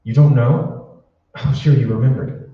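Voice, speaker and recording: An actor playing a nervous, secretive, evasive commuter in an old-time radio drama. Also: male voice, medium pitch, fairly even intonation, a little slow, close to an everyday voice. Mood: furtive, agitated. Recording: reverberant hall, muffled, distant, noisy.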